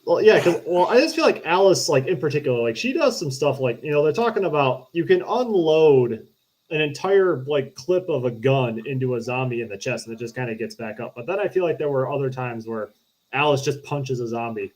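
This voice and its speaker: A man's voice: medium pitch, lively intonation, a little fast, a little mellow, rough recording. A man giving his opinions as a panelist in a casual, conversational film discussion. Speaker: podcast panelist.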